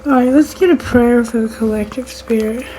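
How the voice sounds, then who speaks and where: A woman's voice: a little high, moderate pace, warm tone, soft background music, rough recording. A woman giving a tarot reading on her channel, whose voice is strained and fading. She speaks straight to her listeners as a collective, using spiritual wording and prayer. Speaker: tarot reader.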